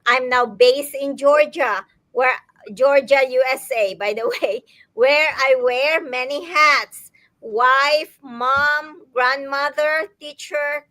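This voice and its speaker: Panel guest: woman in her later years, from the Philippines, high and bright, friendly, dry close sound. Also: slow, no echo, low-quality recording. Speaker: guest entrepreneur. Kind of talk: live online panel. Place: home in Georgia, USA.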